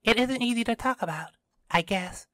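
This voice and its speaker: An excitable teenage girl , voiced by a male narrator in a story reading. The voice is lifted well above his own and kept dry. She argues emphatically, full of exclamation. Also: very high-pitched, normal speed, no room sound, pitched above the performer's own voice, heavily acted. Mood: hesitant.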